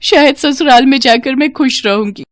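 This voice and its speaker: A woman voicing a gentle, long-suffering young daughter-in-law in a cartoon story, in a plaintive, emotive way. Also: moderate pace, background noise, natural voice. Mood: meek. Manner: plaintive, emotive, raised pitch.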